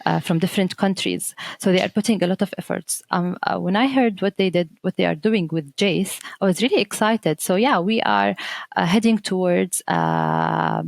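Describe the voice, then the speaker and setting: Woman, arabic first language, lowish gravelly voice, hesitant with lots of uhs, guest on a podcast. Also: lively intonation, moderate pace, dry recording. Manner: hesitant, with many "uh"s and restarts. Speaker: guest, teacher trainer. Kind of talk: interview answers.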